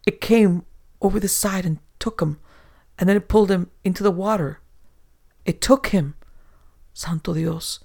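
A man's voice: high voice, moderate pace, vocal fry, pauses often, darkish tone. A man voicing a terrified swarthy male sailor who stammers in broken English. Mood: panicked. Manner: panicked, stammering, whimpering.

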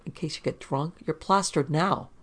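A man's voice: on the high side, moderate pace, lightly acted. A man voicing a hard-boiled, impatient private investigator with curt, snapping demands in a pulp detective audiobook. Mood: exasperated, insistent.

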